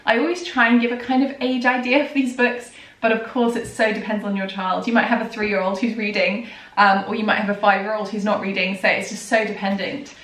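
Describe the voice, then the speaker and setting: A woman in her thirties, hoarse from a recent illness, chatting casually and enthusiastically about children's books with many ums and soft laughs. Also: medium pitch, level tone, a little fast. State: hoarse, recovering from a lost voice. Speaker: book reviewer and vlogger. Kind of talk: casual book review chat. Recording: roomy and distant from the mic, faint noise.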